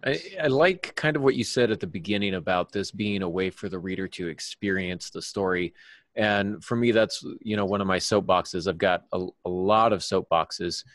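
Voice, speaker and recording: Male podcast host, lower register, dry sound. Halting explainer with wry asides. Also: fairly bright tone, no room sound. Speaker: podcast host and writing teacher. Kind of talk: podcast discussion about writing craft.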